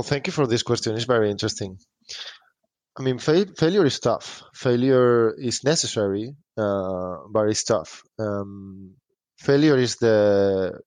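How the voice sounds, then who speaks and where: A man answering interview questions as a startup founder, thinking aloud haltingly and repeating phrases. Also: on the low side, a little mellow, dry recording. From Spain.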